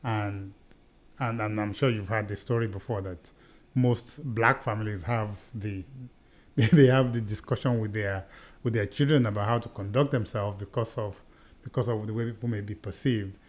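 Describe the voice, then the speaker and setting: A man's voice: lowish voice, steady tone, moderate pace. Earnest Nigerian-accented man telling a story. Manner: earnest storytelling, often repeats and restarts phrases. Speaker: podcast co-host. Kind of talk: conversational podcast discussion.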